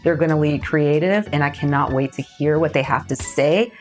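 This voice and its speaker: A woman's voice: deep voice, moderate pace, darkish tone, soft background music. A Liberian-born woman hosting a casual interview, upbeat and affirming. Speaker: podcast host.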